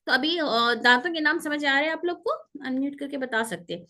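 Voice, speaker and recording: A woman with a high, bright voice teaches an online pronunciation class. She walks through each point step by step and repeats the key sounds slowly and carefully. She is heard through a computer mic on a call. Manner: explains step by step, repeats terms and letters slowly. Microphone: computer mic on a video call.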